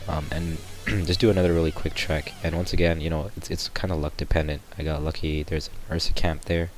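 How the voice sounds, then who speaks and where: A sick young man with a raspy voice, rambling through a casual gameplay tutorial voice-over with lots of ums. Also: a bass voice, little pitch movement, a little fast. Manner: rambling, many ums and restarts. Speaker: gameplay commentator. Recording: voice-over with loud background music.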